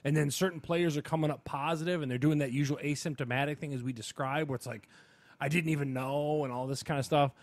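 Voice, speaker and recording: A man's voice: a little high, fairly even intonation, fast, dry recording. A man co-hosting a casual sports and entertainment podcast, talking in an enthusiastic, emphatic, rambling way. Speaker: podcast co-host.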